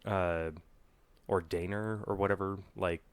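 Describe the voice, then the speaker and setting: Deep-voiced nasal man with a cold. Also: steady tone, smooth, no room sound. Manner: halting, restarts often. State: getting over a cold, a little nasal. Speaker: podcast co-host. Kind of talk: casual chat. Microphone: podcast microphone.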